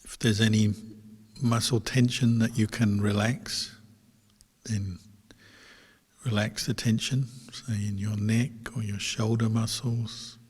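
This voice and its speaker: A man teaching as a Buddhist monk, guiding a meditation in measured short phrases with long pauses between them. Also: on the low side, level tone, breathy edge. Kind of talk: guided meditation instruction. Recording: long hall reverb.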